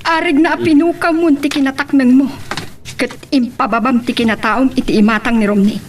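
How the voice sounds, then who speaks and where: A woman's voice: high-pitched, steady tone, brisk, a little hiss or hum, close to an everyday voice. A woman playing a stern, admonishing mother in an Ilocano radio drama, with an Ilocano accent. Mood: reproving. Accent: Ilocano, northern Philippines.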